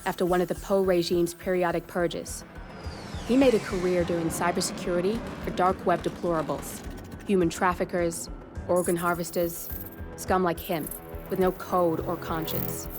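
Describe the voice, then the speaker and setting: A woman's voice: on the low side, fairly even intonation, a little slow, pauses often, natural voice. A woman playing a blunt, sardonic, streetwise hacker in a cinematic video game. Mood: briefing, dry. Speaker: hacker ally. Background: music.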